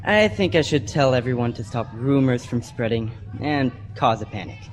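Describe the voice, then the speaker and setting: A man's voice: medium pitch, expressive, normal speed, lightly acted. A young male performer playing a teenage boy, a reassuring big brother who takes charge, in a sci-fi radio play.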